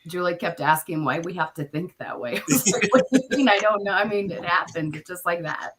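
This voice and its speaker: A woman co-hosting a podcast, hoarse from a chest cold, with a naturally low voice; she rambles, giggles and trails off with "I don't know". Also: a little fast, fairly bright tone, slight background noise. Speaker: podcast co-host. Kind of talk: casual chat.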